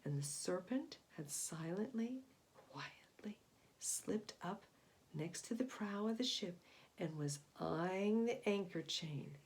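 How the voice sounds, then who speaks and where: A woman reading a children's story aloud in an expressive, emphatic style, moving between hushed and booming delivery. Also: takes it slowly, slight background noise. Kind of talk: expressive scripted storybook narration.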